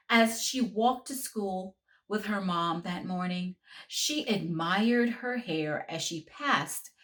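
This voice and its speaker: Slow, expressive woman reading a storybook. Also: lowish voice. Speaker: children's book author and read-aloud host. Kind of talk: children's storybook read-aloud.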